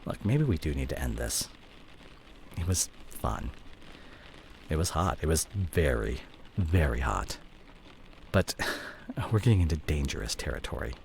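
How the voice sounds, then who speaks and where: Man acting smooth, dramatic cheating CEO boyfriend, animated pitch. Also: measured pace, pauses often, a little hiss or hum, exaggerated voice. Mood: reluctant. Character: cheating fallen CEO boyfriend, smooth-talking, evasive, image-obsessed. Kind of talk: intimate boyfriend roleplay audio drama.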